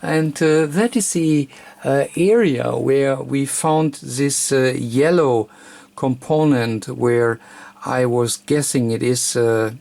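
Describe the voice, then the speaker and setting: A man presenting an unscripted hands-on electronics tutorial, thinking aloud in a halting, hesitant way. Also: mid-range voice, creaky, darkish tone. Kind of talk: unscripted hands-on technical demonstration.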